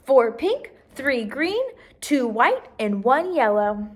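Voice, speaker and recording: A woman's voice: high-pitched, big pitch swings, slow, exaggerated voice. A woman voicing a helpful, eager young brother in a storybook.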